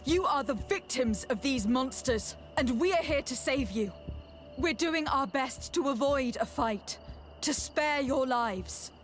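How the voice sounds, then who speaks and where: An actress playing a principled young noblewoman diplomat in a video game, earnest and protective, speaking in a measured, formal way. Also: high voice, lively intonation, faint music behind. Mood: urgent pleading.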